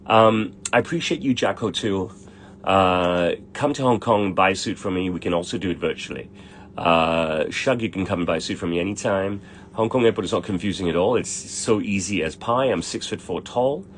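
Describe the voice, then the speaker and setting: A man's voice: deep voice, moderate pace, a little hiss or hum. A middle-aged man, a tailor, answering viewer questions in a blunt, brash way and swearing freely. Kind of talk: casual livestream Q&A.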